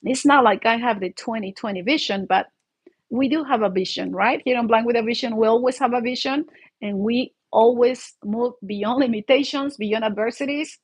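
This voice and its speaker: A woman's voice: on the high side, moderate pace, husky. A woman from Mexico with a Mexican accent and Spanish as her first language hosts an informal solo vlog, talking in a rambling, conversational way and often checking for agreement.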